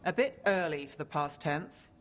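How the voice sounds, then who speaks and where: An actress playing a guarded, wry, driven detective with a dry, clipped delivery in a game scene. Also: female voice, low-pitched, expressive, normal speed. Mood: matter-of-fact. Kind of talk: cinematic video game acting.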